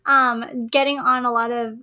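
A woman with a soft, babyish voice that sits a little high. She talks as a podcast guest, hesitant and full of fillers, restarting sentences and giggling often. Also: level tone, normal speed. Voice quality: soft, girlish voice. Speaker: podcast guest, web designer. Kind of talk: casual interview chat.

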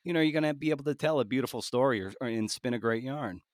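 A man interviewing casually as a podcast host, thinking aloud in a halting, conversational way. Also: mid-range voice, no echo.

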